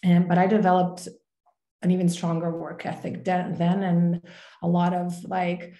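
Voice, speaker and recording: A young Croatian woman speaks English with a soft, mellow tone that stays fairly level. She tells her story in a relaxed, open way, full of "like" and "um". Her webcam picks her up in a roomy, echoing room. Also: on the low side, measured pace. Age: young adult. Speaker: podcast guest. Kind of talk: casual interview chat. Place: home room, video call. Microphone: video call webcam.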